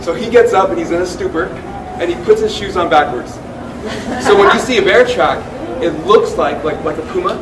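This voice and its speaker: Man on a tracking panel at a live Q&A, chatty storyteller, higher voice, echoey hall, off-mic with background noise. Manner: chatty, lots of "like" and self-restarts. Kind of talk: animated storytelling answer. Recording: echoey room, distant mic, noisy.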